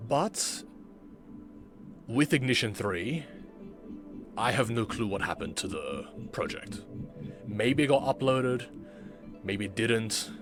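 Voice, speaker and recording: A man narrating a reflective vlog in a deliberate way, with dramatic pauses and emphatic repetition. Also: mid-range voice, lively intonation, over music. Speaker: video creator, former amateur voice actor.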